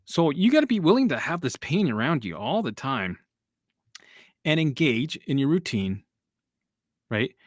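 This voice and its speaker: A man's voice: a little high, very animated, unhurried, pauses often, no room sound, clean studio sound. A man hosting a casual live stream as a recovery coach. He rambles and repeats himself, swears casually and laughs at himself as he answers viewers.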